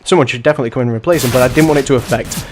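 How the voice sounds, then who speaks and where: Young male host, hoarse and sniffly with flu, casual rambling match commentary, faint gunfire under the voice. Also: mid-range voice, rapid-fire, darkish tone, a little hiss or hum. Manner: rambling, self-correcting, chatty. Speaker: host and commentator of a bot league.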